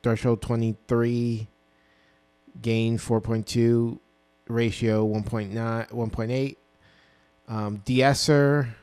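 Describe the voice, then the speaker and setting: A man in his twenties with a low voice, casually walking through his own song mix with plenty of ums and self-corrections, recorded on a dynamic mic in a small home studio. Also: takes it slowly, smooth. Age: 20s. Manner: relaxed, rambling, full of ums and self-corrections. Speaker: music producer. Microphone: dynamic broadcast mic.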